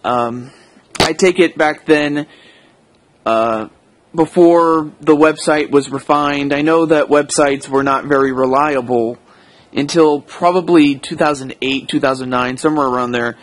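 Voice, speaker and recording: Slow, hesitant American man rambling. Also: fairly high pitch. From United States. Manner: hesitant, rambling, self-correcting. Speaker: audio log host. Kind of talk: casual unscripted monologue.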